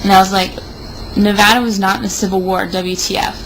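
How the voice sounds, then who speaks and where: A woman's voice: mid-range voice, steady tone, a little fast, poor audio. A young woman in a casual, rambling monologue, sounding tipsy and sardonic. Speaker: vlogger. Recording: noticeable background noise.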